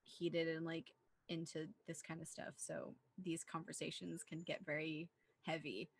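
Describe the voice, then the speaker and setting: A woman's voice: low-pitched, little pitch movement, unhurried, vocal fry, breathy edge. A woman co-hosting a casual movie discussion, talking in an earnest, rambling way with plenty of "like". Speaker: podcast co-host.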